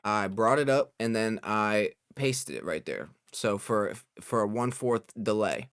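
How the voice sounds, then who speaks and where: A young man hosting a casual software tutorial, explaining informally step by step as an audio engineer. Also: on the low side, animated, unhurried, smooth, no echo, clean studio sound.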